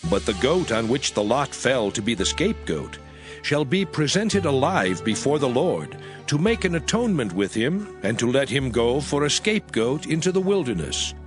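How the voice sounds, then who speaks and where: Expressive male scripture narrator over music. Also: mid-range voice. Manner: measured, formal.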